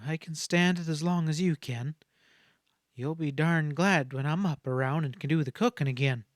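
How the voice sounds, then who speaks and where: A man's voice: a little high, normal speed, no echo, studio quality, close to an everyday voice. A man voicing a plucky, teasing young frontier woman, plain-spoken, in a Western audiobook.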